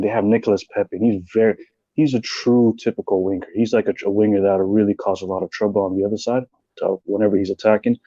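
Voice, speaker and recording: A man's voice: fairly low pitch, mellow, dry recording, highs cut off. A man calling in to a fan show, breaking down the match in earnest, rambling detail. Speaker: caller, football fan. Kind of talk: casual match analysis.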